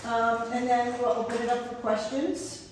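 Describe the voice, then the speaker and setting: Loud woman hosting in an echoey gallery. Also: fairly high pitch, little pitch movement, moderate pace. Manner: loud, chatty and direct. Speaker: gallery host. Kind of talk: introducing a speaker. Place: art gallery, indoors. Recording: very echoey room, voice far from mic, faint noise.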